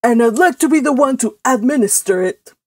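A woman voicing an indignant, scornful older uncle in an audiobook, forceful and growling. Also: on the high side, animated, a little fast, dry recording, clean studio sound. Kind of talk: audiobook character voice.

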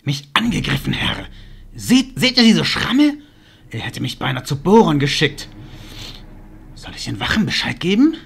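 A man's voice: a little high, sing-song, moderate pace, vocal fry, pauses often, fairly bright tone, husky, exaggerated voice. A man playing a conniving chamberlain in a fantasy audio drama, speaking in an anxious, deferential way. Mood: aggrieved. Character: servile, conniving chamberlain of a villainous lord.

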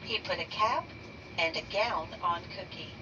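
A woman reading a children's picture book aloud slowly and deliberately, raising her pitch for the characters' lines. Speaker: read-aloud narrator. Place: bedroom, indoors. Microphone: phone camera held over the book. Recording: dull lo-fi sound from a distance with noise.

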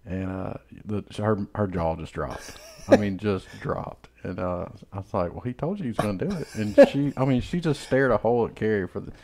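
A man's voice: on the low side, normal speed, gravelly, hardly any pauses, noisy background. A man hosting a casual podcast chat in an easygoing, teasing way. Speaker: podcast host. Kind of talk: casual chat about golf.

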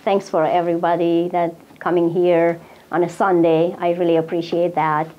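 A woman from Iran, about fifty, speaking in English as an author telling her life story to a small audience in an office room, in an earnest, halting way with many pauses and fillers. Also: on the low side, level tone, dull audio. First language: Persian. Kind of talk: personal talk to a small audience. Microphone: lapel mic.

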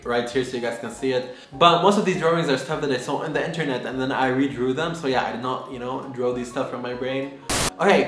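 A young man in his twenties from Lebanon, talking casually to the camera in a lively, chatty way with lots of fillers. He speaks from his bedroom. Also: medium pitch. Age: 20s. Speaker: vlogger. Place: bedroom, indoors. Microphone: camera in front, medium close. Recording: echoey room with faint music.

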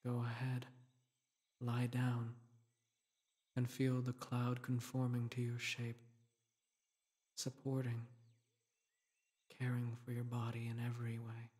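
Guy doing a solemn dream king for a sleep meditation, soft soothing voice, super slow, almost flat, gently protective. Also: medium pitch, lightly acted. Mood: soothing, gentle. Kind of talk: guided meditation, sleep-aid roleplay.

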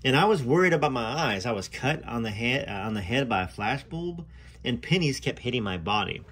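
A man reads aloud as a storytelling host, with dramatic phrasing and emphatic starts to his sentences. His pitch is lively and varied, and he slips easily into casual asides. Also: brisk, breathy edge. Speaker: host reading a memoir aloud.

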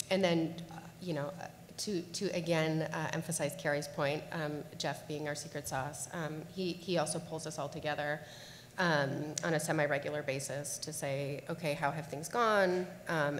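A woman on a conference panel, answering questions in a measured way with many fillers and restarts. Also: sits low. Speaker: panelist on payer contracting. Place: large conference hall with audience. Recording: echoey hall from far off, faint hum.

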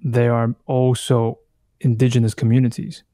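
A man in his thirties from Taiwan, whose first language is Taiwanese, speaks as a guest in a reflective conversation. His mid-pitched voice is recorded dry, with very little room sound. He thinks as he goes, halting and reworking his phrases. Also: little pitch movement, mellow, dry recording. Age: 30s. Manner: halting, thoughtful, circles back to rephrase. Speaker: podcast guest, artist.

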